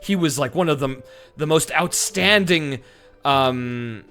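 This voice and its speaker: A man's voice: on the high side, slow, pushed and bright. A man commentating casually on a game livestream, joking with a sarcastic, theatrical manner. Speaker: streamer and voice actor.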